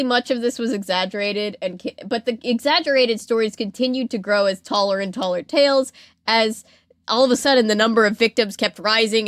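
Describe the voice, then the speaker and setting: A woman hosting a podcast, casually retelling history in a chatty, animated way with lots of filler "like". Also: medium pitch, no room sound, clean studio sound. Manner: chatty, animated retelling with acted-out quotes and frequent "like". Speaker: podcast host. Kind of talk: casual storytelling about pop culture history.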